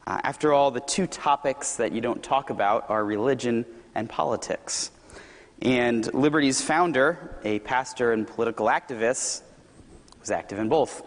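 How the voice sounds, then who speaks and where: A young man gives a Sunday sermon with an easygoing, joking warmth, his voice slightly hoarse. His words ring out with a long echo through a big church hall. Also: medium pitch, normal speed. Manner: relaxed, self-deprecating and conversational. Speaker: youth director and occasional preacher.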